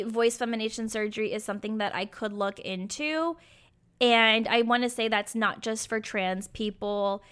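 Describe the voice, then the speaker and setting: A young woman talks on her solo podcast in a candid, chatty way with casual fillers. Her voice is raspy and a bit hoarse, yet bright and clean in tone. It sits a little high for a woman. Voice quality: raspy, sometimes croaky. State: hoarse from acid reflux. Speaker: podcast host.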